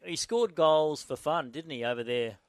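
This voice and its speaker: A man's voice: on the high side, moderate pace, clear, resonant tone. A man co-hosting a radio sports chat, joking and chummy. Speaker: radio co-host. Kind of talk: casual banter.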